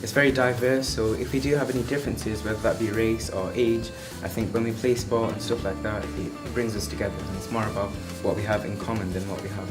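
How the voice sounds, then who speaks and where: A man's voice: lowish voice, fairly even intonation, fairly quick, few pauses. A teenage boy speaking earnestly and steadily in a group interview. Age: teens. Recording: music playing under the voice.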